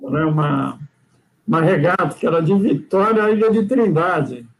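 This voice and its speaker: A man's voice: fairly high pitch, lively intonation, moderate pace. An older man from Brazil telling sailing stories in a rambling, casual way, tagging sentences with "né?" and restarting often. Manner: rambling, frequent "né?" tags and restarts. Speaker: guest sailor. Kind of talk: casual storytelling chat.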